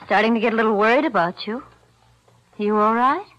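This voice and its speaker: A woman's voice: fairly high pitch, fairly quick, fairly bright tone, highs cut off, close to an everyday voice. A woman playing an anxious, loyal girlfriend. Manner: earnest, pleading. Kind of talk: 1940s radio acting.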